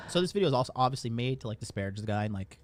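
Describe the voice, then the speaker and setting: A man's voice: medium pitch, lively intonation, very fast, no room sound. A man giving casual commentary in a blunt, profane, lecturing way.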